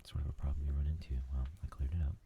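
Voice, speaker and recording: Very deep, gravelly whispering man. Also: flat delivery, very fast, highs cut off, a little hiss or hum. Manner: rambling, soft, many fillers. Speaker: ASMR gaming channel host. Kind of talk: whispered ASMR chat while gaming.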